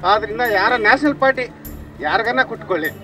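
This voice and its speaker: A man making a pleading, halting appeal in a street interview. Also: very high voice, expressive, loud music behind the voice. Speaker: member of public interviewed.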